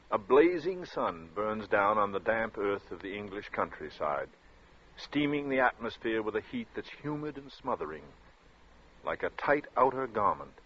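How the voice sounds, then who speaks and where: Old time radio announcer guy, dramatic, rough husky voice, dull sound. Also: on the low side. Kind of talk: dramatic scripted 1940s radio narration. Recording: muffled 1940s radio recording.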